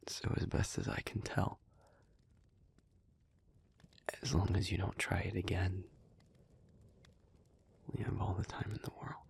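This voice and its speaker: A man playing an earnest, analytical demon in an intimate audio roleplay, speaking in a hushed, breathy, measured and deliberate way. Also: sits low. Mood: calm, reassuring. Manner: measured, deliberate, halting, formal wording. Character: earnest, analytical male demon, gently devoted and restrained.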